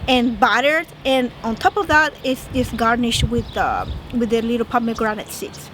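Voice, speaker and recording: A woman's voice: a little high, lively intonation, normal speed, fairly bright tone. A woman from northern Mexico with a Mexican Spanish accent, chatting and explaining at length in a casual street interview. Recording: outdoor street noise.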